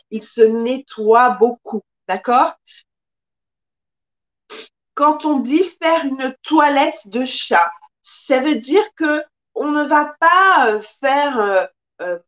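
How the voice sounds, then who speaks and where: Slow, high-voiced woman teaching French. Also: highs cut off. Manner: slow, careful, expressive teacher with lots of hand gestures. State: occasional coughing from pollen allergies. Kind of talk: live-streamed language lesson. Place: small indoor room.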